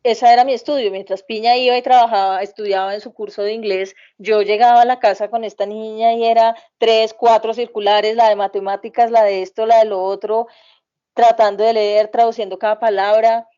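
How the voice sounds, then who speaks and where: A woman's voice: fairly high pitch, fairly quick, clear, resonant tone. Chatty woman telling family stories. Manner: animated, rambling, laughs often, frequent fillers. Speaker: podcast guest, mother recounting family migration. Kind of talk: casual conversational storytelling. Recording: slightly muffled podcast audio.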